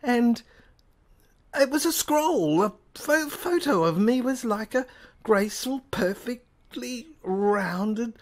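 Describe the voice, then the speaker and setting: Female narrator for audio drama: high voice, drawn-out pace, faltering and intense first-person storytelling. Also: animated. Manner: halting, repeating words, overwrought. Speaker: narrator reading an audio screenplay.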